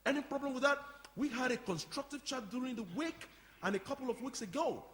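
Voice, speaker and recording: A man's voice: very high-pitched, a little fast, a bit bright. An actor playing an unbothered, matter-of-fact committee man in a stage play. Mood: defiant. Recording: reverberant live hall performance.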